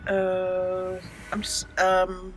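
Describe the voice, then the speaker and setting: A woman's voice: lowish voice, monotone, drawn-out words, music in the background. A woman hosting a casual let's play, chatting playfully with mock indignation and mild joke swearing. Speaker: gaming channel host.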